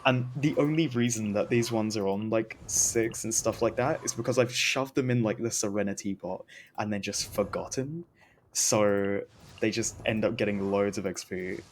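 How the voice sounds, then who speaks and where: Man, fairly low voice, game streamer, casual rambling chat, self-interrupting, faint rumbling background noise. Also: normal speed.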